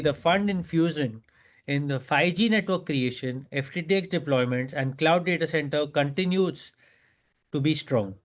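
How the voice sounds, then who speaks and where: A man with an Indian accent reads a prepared earnings presentation in a formal, scripted manner as a company executive. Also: on the high side.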